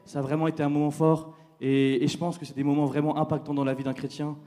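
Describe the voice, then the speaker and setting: A man's voice: fairly high pitch, little pitch movement, fast, mellow. A man giving an earnest, grateful church testimony. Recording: crowd murmur and light noise.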